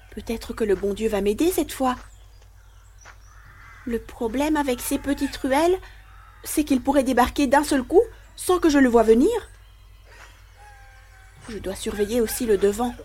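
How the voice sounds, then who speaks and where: A woman's voice: a little high, dark tone, a little hiss or hum, natural voice. A woman playing a terrified, ashamed young boy in an anxious first-person inner monologue for audio fiction. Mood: fearful.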